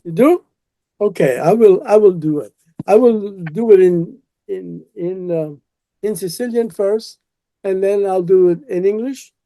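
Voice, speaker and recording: A man's voice: sits high, a little slow, dark tone, no room sound. A man whose first language is Italian, speaking earnestly as a professor in an interview. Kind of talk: conversational interview, reading a poem aloud.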